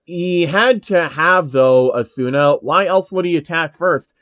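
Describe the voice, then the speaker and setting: A man's voice: a little high, unhurried, a bit bright, dry recording, lo-fi sound. A man voicing a cocky young male swordsman hero with a casual, defensive manner in a fan fiction story. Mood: accusing.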